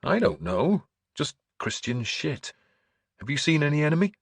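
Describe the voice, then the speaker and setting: A man playing a gruff, sceptical warrior lord in a historical audiobook, speaking bluntly and drily. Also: fairly high pitch, big pitch swings, moderate pace, no room sound, pitched above the performer's own voice, heavily acted. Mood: curt, irreverent. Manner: blunt, dry.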